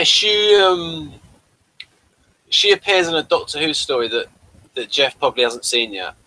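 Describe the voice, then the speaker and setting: A man with a bright, forward voice at a middling pitch gives casual commentary as co-host of a review. He talks in a halting, rambling way, often leaving sentences unfinished. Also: rough recording. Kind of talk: casual running commentary on a TV episode.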